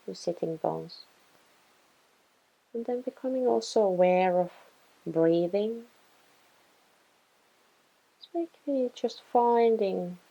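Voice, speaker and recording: A woman guiding a body scan meditation in a gentle, soothing, unhurried way, leaving pauses between phrases. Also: fairly low pitch, creaky, lo-fi sound. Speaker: meditation guide.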